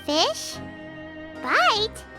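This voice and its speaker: A little fairy sidekick from a video game, extremely high and squeaky. The delivery is childlike, exclamatory and bouncing all over in pitch, with music playing prominently behind it. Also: female voice, big pitch swings, very slow, heavily acted. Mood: pondering, puzzled. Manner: refers to self in third person, exclamatory, dramatic. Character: small excitable childlike fairy girl companion, blunt and curious. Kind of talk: animated video game voice acting.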